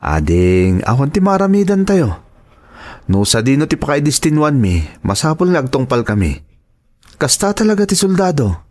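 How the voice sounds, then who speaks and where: Man acting expressive Filipino soldier big brother. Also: a little high, normal speed. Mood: resigned, steady. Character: dutiful soldier elder brother, calm and resigned. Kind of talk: Ilocano audio drama acting.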